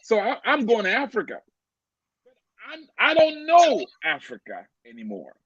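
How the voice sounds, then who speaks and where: Man, high voice, husky tone, animated storyteller, repeats words, dry dull lo-fi recording. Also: a little slow, no echo. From Cameroon. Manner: animated, stammering repeats, laughs often. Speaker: podcast guest. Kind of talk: personal faith testimony, storytelling. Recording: dry, slightly dull lo-fi audio.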